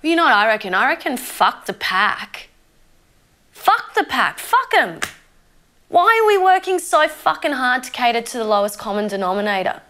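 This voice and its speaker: A woman's voice: fairly high pitch, very animated, normal speed, bright, forceful tone. An actress playing an opinionated young female zombie with a conscience, ranting conversationally and swearing freely in an Australian accent, as if interviewed for a mockumentary. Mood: fired-up indignation. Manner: sweary, indignant, conversational rambling.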